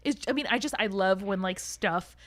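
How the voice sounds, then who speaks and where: A woman's voice: medium pitch, moderate pace, no echo. A young woman co-hosting a podcast, chatting informally and emphatically, with some swearing. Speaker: podcast co-host. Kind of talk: casual chat.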